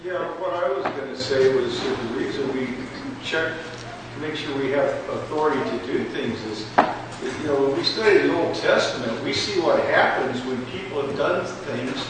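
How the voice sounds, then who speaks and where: A man's voice: medium pitch, normal speed. A man in a church class giving an informal, explanatory answer as a member of the group. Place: echoey indoor room, far from the mic. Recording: echoey room, distant mic, clear noise.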